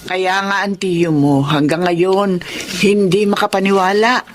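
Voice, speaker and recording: A woman's voice: mid-range voice, steady tone, a little slow, a little hiss or hum, natural voice. A woman playing a caring, encouraging old grandmother with a Filipino accent, soothing and coaxing, in a radio drama. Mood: reassuring.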